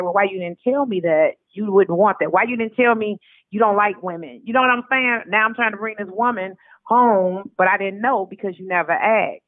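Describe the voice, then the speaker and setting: A woman's voice: mid-range voice, no room sound. Over a narrow, tinny telephone line, a woman speaks as an interview guest in a mid-range voice. Her talk is casual and candid, with blunt, colloquial phrasing. The line is dry, with no room sound. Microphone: telephone call.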